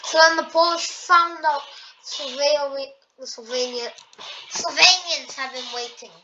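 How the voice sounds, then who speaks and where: Young female voice, fairly high, halting war-map narration, muffled lo-fi sound, audible background noise. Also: steady tone. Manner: halting, with many restarts. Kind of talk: casual play-by-play narration. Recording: dull lo-fi audio with clear background noise.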